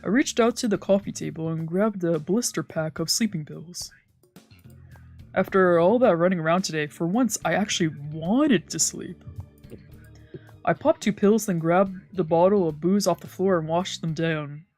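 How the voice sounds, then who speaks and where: A woman streamer reading a visual novel aloud in expressive, dramatic narration with casual asides. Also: fairly low pitch, moderate pace. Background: game background music.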